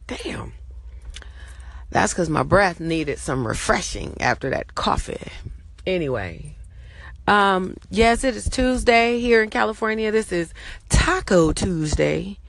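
A woman's voice: lowish voice, vocal fry, fairly bright tone, no echo. A woman in her forties with a Los Angeles accent, vlogging loosely from her car while she drives, swearing casually and filling gaps with lots of ums. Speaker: vlogger and teacher. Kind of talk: casual chatty vlog.

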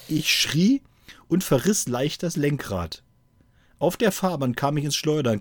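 Animated man, colloquial podcaster. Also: fairly high pitch, no room sound. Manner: colloquial, amused. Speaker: podcast co-host. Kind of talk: reading out listener stories and casual chat.

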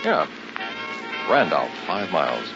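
An actor playing a confident young newlywed husband in a 1960s radio drama, teasing and brushing off worries. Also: male voice, sits low, sing-song, takes it slowly, creaky, exaggerated voice. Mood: breezy, reassuring. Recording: dull vintage 1960s radio recording, music.

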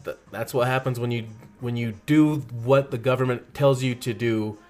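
A man co-hosting a casual podcast, explaining his point in an earnest, steady way. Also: mid-range voice, moderate pace, faint music behind. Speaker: podcast co-host.

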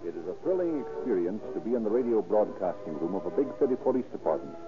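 A man's voice: on the low side, very animated, fast, loud music behind the voice. A man announcing and narrating in scripted 1930s radio style, formal and emphatic. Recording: tinny 1930s radio broadcast.

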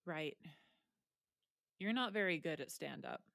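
A woman's voice: low voice, expressive, unhurried, creaky, fairly bright tone, dry recording, heavily acted. Woman playing a stressed teen soccer girl, dry n defensive, natural audio drama acting, keeps things understated. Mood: awkward, subdued. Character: guarded, injured teenage girl athlete, dry and stressed.

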